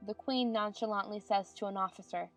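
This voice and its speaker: A woman's voice: mid-range voice, a little fast, soft background music. A woman reading scripted stage narration for a dramatized audiobook.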